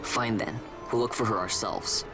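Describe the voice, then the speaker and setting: An actor playing a bold, stubborn young adventurer in a video game, speaking in a blunt, informal, defiant way. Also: male voice, fairly low pitch, fairly even intonation, normal speed, over music, lightly acted.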